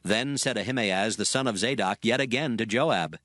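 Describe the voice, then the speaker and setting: A man narrating dramatized scripture in a measured, formal reading style. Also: lowish voice, a bit bright, no echo, studio quality.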